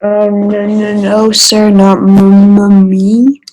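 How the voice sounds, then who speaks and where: Woman reading a slow-talking storybook woodland animal. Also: medium pitch, little pitch movement, darkish tone, close to an everyday voice. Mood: timid, pleading. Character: plain-spoken animals: timid tiny squirrel, big helpful bear. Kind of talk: readers theater for children.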